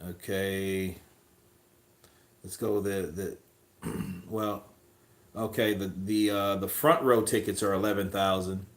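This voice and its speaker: A man's voice: sits low, slow pace, pauses often. Guy rambling on a boxing stream, swears a lot, echoey room. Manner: profane, rambling, animated. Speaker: boxing livestream host. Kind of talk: casual livestream chat.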